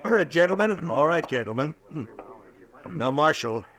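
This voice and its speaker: A man's voice: on the high side, animated, normal speed, a bit bright. An actor playing a fussy, flustered townsman in a 1950s radio drama, speaking hesitantly.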